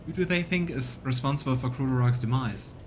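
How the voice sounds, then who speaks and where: A man's voice: medium pitch, fairly quick, a little hiss or hum. A man giving casual let's play commentary as a game streamer. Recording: music behind the voice. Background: game music.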